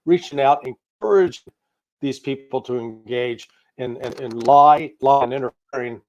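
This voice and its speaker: A man's voice: medium pitch, normal speed, slight background noise, low-quality recording. An American man speaking as a guest commentator in a political interview, opinionated and emphatic, piling up points in lists. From United States. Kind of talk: spoken political analysis in an interview.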